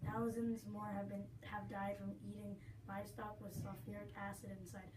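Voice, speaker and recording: A woman's voice: mid-range voice, little pitch movement, moderate pace. A young woman reading a scripted mock news report as a field reporter, with small slips and restarts. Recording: echoey room, mic far off, noisy.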